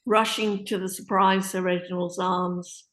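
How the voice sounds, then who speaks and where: A woman's voice: fairly low pitch, flat delivery, a little slow, a bit bright. Woman narrator reading stage directions. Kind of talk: scripted narration.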